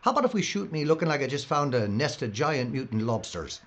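A man's voice: mid-range voice, big pitch swings, fast talker, fairly bright tone, a little hiss or hum. An actor playing a disgruntled, wisecracking male reporter who complains sarcastically. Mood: sarcastic. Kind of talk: film dialogue.

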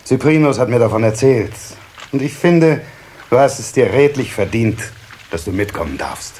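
A kindly water sprite father acted by a man in a children's radio play. His mid-pitched voice moves expressively as he muses with fatherly pride, with faint hiss behind it. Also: animated, moderate pace, a little mellow, lightly acted. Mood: fatherly, indulgent. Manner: fatherly, musing, hesitant repetitions. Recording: faint hiss of old cassette.

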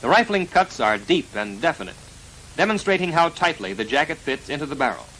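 An American man narrating a 1940s military instructional film in a formal, precise, measured delivery, on a vintage film soundtrack. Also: on the high side, sing-song, pushed and bright, slight background noise, rough recording. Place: voice-over recorded for a film.

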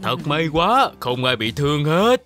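A woman's voice: deep voice, unhurried. A woman voicing a kindly, caring grandmother shark in a children's cartoon. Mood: relieved. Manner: animated, exclaiming. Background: loud children's music.

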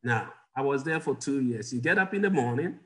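A man's voice: mid-range voice, animated, fairly quick. Man telling stories on a video call. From Ghana. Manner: warm storyteller, chuckles often, asks the audience questions. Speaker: guest doctor. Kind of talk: personal storytelling in an interview. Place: home office, indoors.

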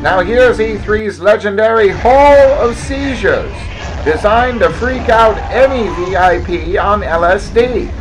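A man with a high-pitched voice plays newsreel narrator, reading scripted lines with mock grandeur. His delivery is slow and deliberate, in parody of old-time announcers, over faint music. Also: expressive, low-quality recording.

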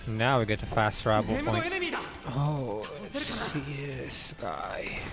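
A young man talks slowly and casually while streaming gameplay, with clear music behind him. His delivery is halting and distracted, as if half his attention is on the game. He sits close to the microphone in a small room. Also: mid-range voice, wildly swooping pitch. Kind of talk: casual live gameplay commentary. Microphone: close desk microphone.

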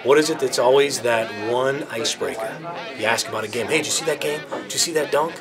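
A man's voice: mid-range voice, fast talker, music in the background. A man working as a sports radio program director, talking in a documentary interview. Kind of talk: interview talk. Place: indoor room, documentary interview with music behind.

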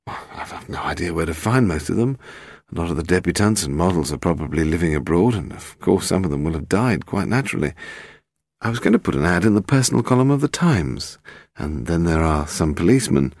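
Man playing a chatty, flustered publisher, lively pitch. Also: a little fast, no room sound, clean studio sound. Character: chatty, slightly flustered male book publisher.

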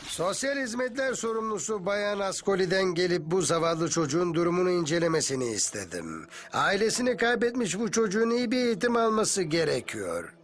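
A man's voice: high-pitched, big pitch swings, fairly quick, few pauses. A man playing a stern, self-righteous father in a dubbed cartoon, speaking in a pompous, formal way.

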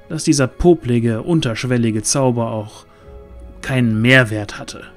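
A man narrating a scripted fantasy audio drama in a dramatic storytelling manner. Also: mid-range voice.

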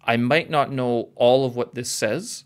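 A man's voice: mid-range voice, a little slow, clear, resonant tone. A man from Canada hosting a podcast over a video call, talking casually and rambling, often correcting himself and laughing at himself. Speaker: podcast host.

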